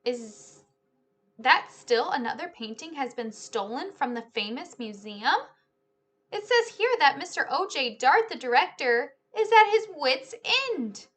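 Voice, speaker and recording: A woman voicing a matter-of-fact father in a children's storybook, reporting the news in a measured way. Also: high voice, animated, a bit bright. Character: father reading newspaper aloud at breakfast, matter-of-fact.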